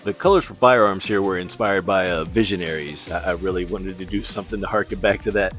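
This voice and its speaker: A middle-aged man giving a casual, rambling commentary on artwork in an informal, halting, self-deprecating way. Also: low-pitched, fairly bright tone. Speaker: artist showing his portfolio. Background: background music.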